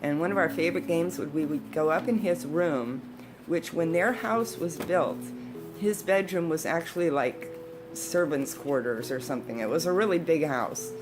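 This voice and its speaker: A woman in her sixties telling personal stories in a casual, conversational, unhurried way, like a storyteller reminiscing. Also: sits low, poor audio. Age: 60s. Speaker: storyteller, video host. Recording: background guitar music. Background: instrumental guitar music.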